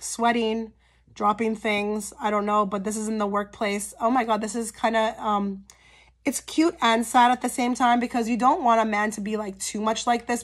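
A woman giving a casual pick-a-card tarot reading straight to her viewers, her voice a little hoarse and cracky from a cold, often checking in with "okay?". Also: mid-range voice. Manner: chatty, frequent "okay?" check-ins with viewers. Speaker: tarot reader.

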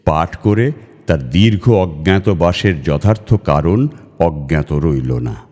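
Deep-voiced man reading a story, long reverb. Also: lively intonation, slow, a little mellow. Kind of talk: dramatic literary story reading. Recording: long reverb over music. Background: music.

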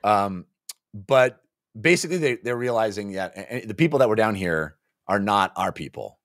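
A man's voice: fairly low pitch, expressive, moderate pace, no room sound, clean studio sound. A man in his forties co-hosting a book club show, recapping a story descriptively in casual chat and sometimes acting out a character's line. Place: home office, on a video call. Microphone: desk microphone, close.

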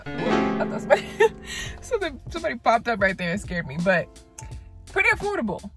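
A woman's voice: a little high, sing-song, fairly quick, a bit bright, faint music behind. A young woman in her twenties, talking casually and thinking aloud as she shows and tastes her groceries, laughing often. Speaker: lifestyle vlogger. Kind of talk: casual haul and taste-test vlog. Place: car, then a home kitchen.

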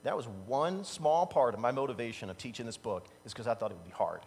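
A man of about fifty preaches from a pulpit in a large sanctuary, his voice carrying a long reverb through the room. He talks in an easygoing, storytelling way and laughs at his own anecdotes. His pitch sits in an ordinary middle range. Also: fast. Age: 50s. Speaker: pastor. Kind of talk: casual conversational sermon. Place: large church sanctuary stage. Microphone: standing at a pulpit mic, far camera. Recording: long reverb from a church hall.

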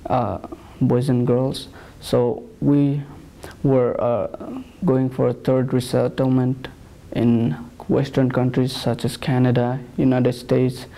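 A young man from Nepal speaks in a small plain room, recorded close on a lapel mic. His voice is gravelly and dark, and it holds a flat, even line. He talks in a measured way and pauses often. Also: mid-range voice. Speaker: interviewee. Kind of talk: personal account.

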